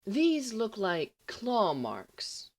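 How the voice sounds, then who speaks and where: A woman's voice: medium pitch, huge pitch swings, drawn-out words, a little mellow, exaggerated voice. A woman voicing a sceptical school matron in an audiobook, firm and coaxing, trying to sound reassuring. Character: stern but soothing, sceptical school matron. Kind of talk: audiobook character voice.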